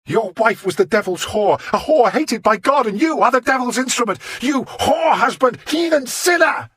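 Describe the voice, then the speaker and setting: A man's voice: fairly high pitch, fairly quick, no room sound, pitched above the performer's own voice, natural voice. A man voicing a frenzied blind old monk who howls and chants in a raving fit. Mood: ranting. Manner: shrieking, howling zealot chant.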